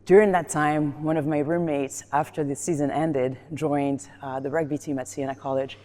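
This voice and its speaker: A woman from Colombia tells stories in a relaxed, chatty way, often breaking into laughter. She is recorded in a gym with a long, echoing reverb around her voice. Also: sits low, normal speed. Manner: animated storytelling, laughs often. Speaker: guest, former rugby player. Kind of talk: casual chat during a gym workout.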